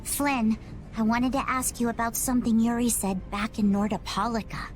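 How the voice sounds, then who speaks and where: An actress playing a curious young girl pirate in a video game, asking gentle, probing questions. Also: on the high side, steady tone, moderate pace, soft background music, close to an everyday voice.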